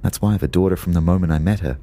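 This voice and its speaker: An actor playing a wry, lovestruck young personal trainer who narrates an audio drama in a measured, understated way. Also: male voice, deep voice, dark tone. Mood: wry, fond.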